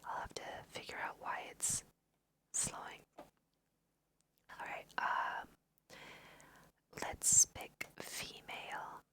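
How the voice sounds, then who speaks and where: A young woman whispering gently and softly in an unhurried ASMR style as she guides listeners through a video game. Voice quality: soft breathy whisper. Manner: gentle, unhurried, hushed guiding. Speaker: host of an ASMR gaming video. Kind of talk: ASMR let's play commentary.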